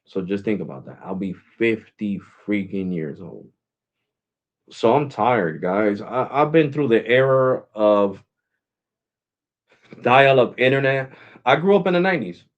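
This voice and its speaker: A man's voice: lowish voice, lively intonation, measured pace, muffled recording. A man in his forties hosting a live stream, chatting casually and rambling, saying "bro" and "guys" often. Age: 40s. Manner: switches to Spanish with family.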